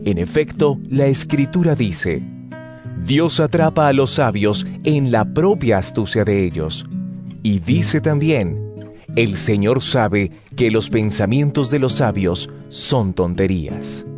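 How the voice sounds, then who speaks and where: Grave male scripture letter writer, deliberate, solemn, unhurried pace, middle pitch, dramatized Bible audio, music backing. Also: expressive. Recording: plucked guitar music behind voice.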